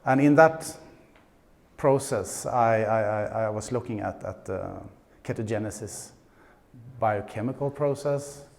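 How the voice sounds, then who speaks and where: Middle-aged Swedish man, conference speaker, unhurried and hesitant, lots of fillers, dry humor. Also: lowish voice, darkish tone. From Sweden. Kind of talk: conference talk. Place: conference stage.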